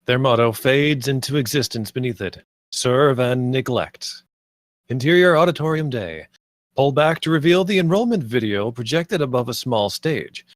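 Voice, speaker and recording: A man's voice: medium pitch, lively intonation, moderate pace, no echo. A man reading stage directions as scripted narration at a screenplay table read.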